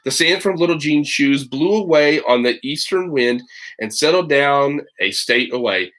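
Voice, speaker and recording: A man reading a children's story aloud as a teacher would, animated and chatty, slipping into casual asides. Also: on the high side, normal speed.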